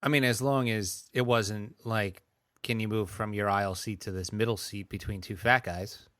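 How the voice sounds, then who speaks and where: A man co-hosting a podcast in casual chat, answering with dry, deadpan quips. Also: fairly low pitch, moderate pace, dry recording. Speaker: podcast co-host.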